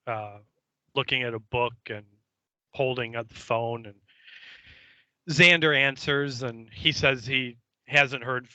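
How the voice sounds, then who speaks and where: A man co-hosting a podcast, giving a casual episode recap in a halting, hesitant, foul-mouthed way. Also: medium pitch, sharp tone, dry recording, muffled recording. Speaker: podcast co-host.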